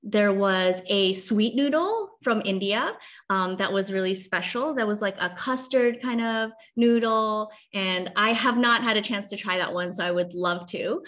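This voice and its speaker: A woman in her thirties from Hong Kong speaks at a medium pitch. She explains things conversationally, as an author on a video call panel. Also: unhurried, fairly bright tone. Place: video call from a room at home.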